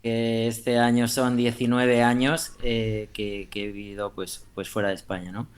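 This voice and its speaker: A man in his forties from Madrid with a Spain Spanish accent, a podcast guest telling his story in a thoughtful, unhurried way. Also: mid-range voice, flat delivery. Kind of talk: casual interview.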